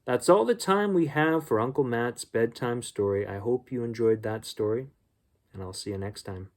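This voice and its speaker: A man hosting a children's bedtime story, reading aloud and chatting casually to young listeners in a gentle, homely way. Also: mid-range voice, normal speed.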